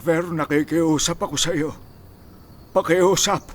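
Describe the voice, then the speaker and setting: High-voiced pleading old Filipino grandfather. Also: fairly even intonation, slow, over music. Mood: worried pleading. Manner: imploring, emotional. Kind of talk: Filipino radio drama acting.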